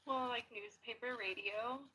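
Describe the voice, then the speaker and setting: A woman chatting casually and excitedly, exclaiming in an emotive way. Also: a little high, measured pace, slight background noise, poor audio.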